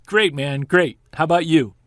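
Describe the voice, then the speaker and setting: An actor playing a wry, self-deprecating amateur investigator in an audio drama, speaking in a conversational, emphatic way. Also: male voice, a little high, measured pace, natural voice. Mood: casual banter. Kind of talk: audio drama narration and casual dialogue.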